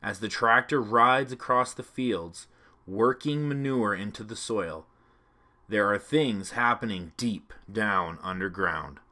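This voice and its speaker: Male narrator for a kids' read-along, bright and expressive. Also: on the low side, takes it slowly. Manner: clear, expressive reading for children.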